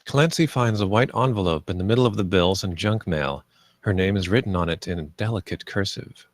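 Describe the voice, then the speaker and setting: Guy w a low-ish voice reading script stage directions, plain n measured, dry sound. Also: dry recording. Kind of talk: scripted narration at a screenplay table read.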